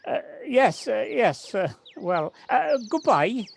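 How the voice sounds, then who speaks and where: A woman's voice: medium pitch, sing-song, takes it slowly, creaky, heavily acted. A woman acting a kindly but evasive, hesitant village woman in a home-recorded audio play. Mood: flustered, evasive. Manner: hesitant, faltering. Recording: home recording on personal device, faint noise.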